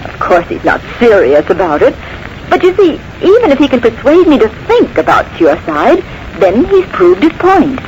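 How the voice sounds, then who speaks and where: A woman's voice: fairly high pitch, wildly swooping pitch, moderate pace, darkish tone, dull audio. A woman playing a wealthy, self-assured wife in 1940s radio drama, poised and dismissive. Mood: breezy confidence. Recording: noisy vintage 1940s radio recording.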